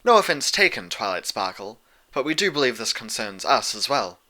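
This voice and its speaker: A man's voice: mid-range voice, sing-song, normal speed, pushed and bright. A man voicing a regal, haughty female pony princess of the night with formal, imperious speech. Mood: stern, eager.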